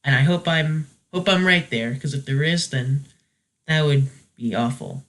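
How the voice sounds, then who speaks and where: A man's voice: medium pitch, measured pace, fairly bright tone. A man hosting a casual, unscripted review, talking in a hesitant, rambling way and often correcting himself. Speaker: reviewer hosting a weekly video series.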